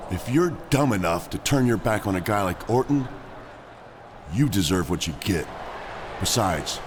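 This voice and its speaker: An actor playing a blunt, dismissive pro wrestler with a sarcastic manner. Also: male voice, low voice. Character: gruff, dismissive big male pro wrestler. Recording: noisy ambient background.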